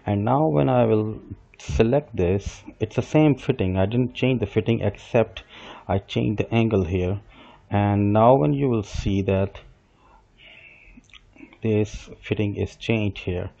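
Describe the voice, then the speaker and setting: Low mellow man explaining software steps. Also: slow, creaky. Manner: explains step by step while working, with small restarts and self-corrections. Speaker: software instructor. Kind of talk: screen-recorded software tutorial. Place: desk with computer, voice-over on screen capture. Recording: dull lo-fi voice recording.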